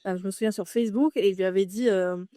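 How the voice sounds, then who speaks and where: A woman's voice: medium pitch, fairly even intonation, quick pace, warm tone, no room sound. Chatty French woman guest, dry sound. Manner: chatty, many fillers and restarts, laughs often. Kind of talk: casual interview conversation.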